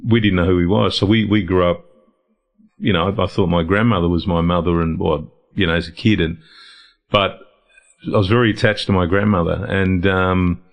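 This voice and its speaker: A middle-aged man talks in a deep voice. He speaks reflectively in a casual interview and often restarts his sentences or repeats words. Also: fairly even intonation, normal speed. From Lebanon, raised in Australia. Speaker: guest, founder of a men's health organisation.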